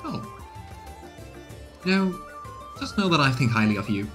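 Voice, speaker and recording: A man's voice: a little high, sing-song, measured pace, a bit bright. A man in his thirties streaming a game, commenting casually and reading dialogue aloud. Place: small room indoors, on webcam. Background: game music.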